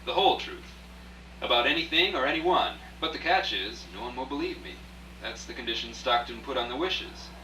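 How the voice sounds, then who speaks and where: Guy acting a wry writer narrating a radio drama, confiding, really bright punchy voice, roomy and distant. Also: medium pitch, brisk, lightly acted. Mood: wry, resigned. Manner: confiding, rhetorical, addressing the listener directly. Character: wry out-of-work male writer turned rich storyteller. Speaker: lead actor and narrator in a radio play. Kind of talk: live radio play, first-person dramatic narration. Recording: echoey distant live rehearsal, faint hum.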